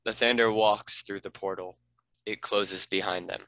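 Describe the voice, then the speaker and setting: A man's voice: lowish voice, fairly even intonation, moderate pace, pushed and bright, dry recording. A man narrating the action and stage directions of a script.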